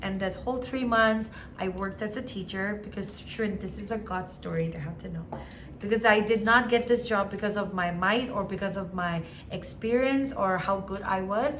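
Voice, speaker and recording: Woman with a Naga accent, relaxed and talkative, average pitch, faint background hiss. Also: normal speed. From Nagaland, India. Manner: chatty, mixes English with Hindi and other Indian languages. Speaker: teacher in an international school. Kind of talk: casual chat.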